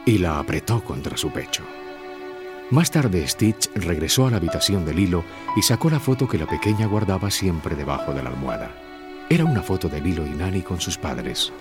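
A man reads a scripted story for children as its narrator. His voice is low, with animated rises and falls. Music plays clearly underneath. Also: normal speed.

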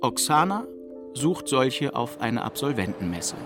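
A man reading a scripted narration in a plain, steady way. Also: mid-range voice, measured pace. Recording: music underneath.

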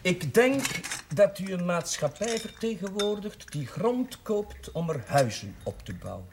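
An actor playing a kindly, modest village priest with a hesitant, plain-spoken manner in a period television drama. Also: male voice, high-pitched, very animated, unhurried, heavily acted. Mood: thoughtful. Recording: faintly noisy old television soundtrack.

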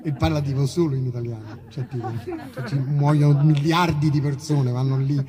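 A man's voice: medium pitch, steady tone, normal speed. A man who performs as a musician, talking casually and self-deprecatingly between songs in rambling, joking stage banter. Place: outdoor festival stage in a park.

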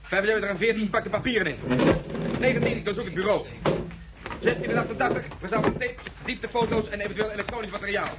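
Guy playing a high-voiced raider snapping orders fast, loud tape hiss, old radio play. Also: lightly acted. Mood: commanding. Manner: curt commanding orders. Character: harsh male raider barking orders. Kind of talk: 1970s radio drama acting.

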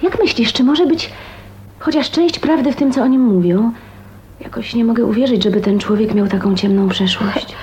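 An actress playing a kind, spirited woman of twenty-nine in a radio drama, earnest, chatty and expressive. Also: a little high, normal speed, a little hiss or hum, natural voice. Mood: curious, puzzled. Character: kind, spirited 29-year-old woman, dreamy and plain-spoken. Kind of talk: Polish radio drama acting.

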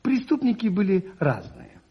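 An actor playing a mild, modest priest-detective in a radio play, hesitant and self-effacing with gentle irony. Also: male voice, high voice, wildly swooping pitch, moderate pace, full character voice. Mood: quietly wry. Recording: muffled 1981 radio recording.

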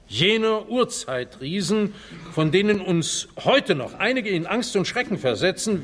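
A man's voice: high voice, heavily acted. An actor playing a stuffy, easily flustered male palaeontologist in a radio drama, speaking in a grandiloquent academic way. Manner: grandiloquent, academic, indignant when challenged. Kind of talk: theatrical German radio drama acting.

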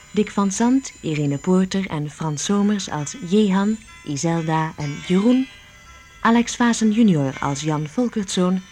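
Low-voiced woman announcer over soft music. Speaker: narrator and announcer. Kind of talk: scripted radio credits announcement.

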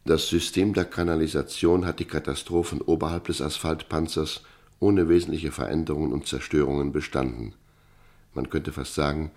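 A man playing a sober narrator in a radio drama, reading formal prose in a measured, factual manner. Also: extremely low pitch. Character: sober documentary-style male narrator.

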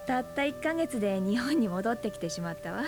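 An actress in her forties playing a brooding, bitter aunt who is a schoolteacher, speaking softly and often in a half-whisper in a radio drama. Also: fairly high pitch, lively intonation, brisk, over music, close to an everyday voice. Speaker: high school teacher.

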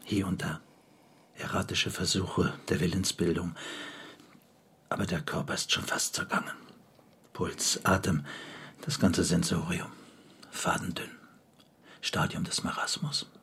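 An actor playing a sober, formal physician in a radio drama, speaking in clipped, detached clinical phrases. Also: male voice, low voice, flat delivery, unhurried, gravelly, pauses often, a bit bright, slightly rough, exaggerated voice. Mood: clinical, hushed. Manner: clipped, formal, matter-of-fact.

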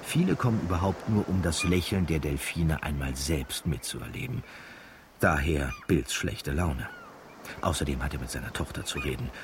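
An actor playing a wry, easygoing narrator of village life in a radio drama, telling it in an unhurried way. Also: male voice, extremely low pitch, background noise. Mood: matter-of-fact.

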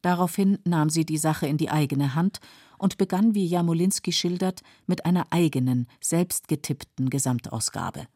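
Low, dark-voiced woman narrator. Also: no echo, clean studio sound. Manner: sober, measured. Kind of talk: scripted documentary narration. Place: dry radio studio.

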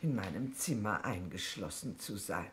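Measured, expressive wealthy aunt. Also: female voice, very deep voice. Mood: composed, dry. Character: composed, dry wealthy aunt who survived poisoning. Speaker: actress in a radio play. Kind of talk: 1960s German radio crime drama acting. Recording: noisy old radio play recording.

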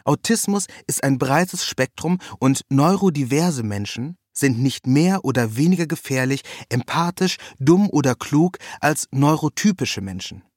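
Male narrator for literary first-person prose, mid-range pitch, very dry studio-like sound. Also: expressive, unhurried, few pauses, darkish tone, dry recording.

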